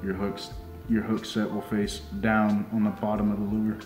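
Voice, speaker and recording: A young man working as a fishing deckhand calmly walks through a hands-on demonstration step by step, often saying "just like so" as he works. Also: lowish voice. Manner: calm, unhurried, repeats "just like so" while working with his hands. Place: small indoor tackle shop workbench. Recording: roomy sound with music underneath.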